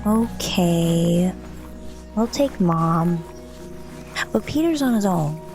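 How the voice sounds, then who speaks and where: A woman's voice: on the low side, lively intonation, very slow, gravelly, a little mellow, faint music behind, heavily acted. A woman playing a thoughtful young daughter in an audio drama, speaking hesitantly and wistfully. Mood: playful. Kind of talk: audio drama acting and narration.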